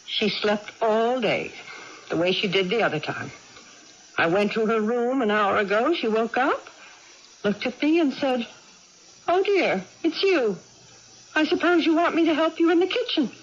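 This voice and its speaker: A woman playing a gentle, indulgent middle-aged mother in a radio drama, soothing and a little hesitant as she coaxes. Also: fairly high pitch, animated, measured pace, pauses often, breathy edge. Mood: bewildered. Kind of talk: 1980s radio drama acting. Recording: dull, noisy vintage radio recording.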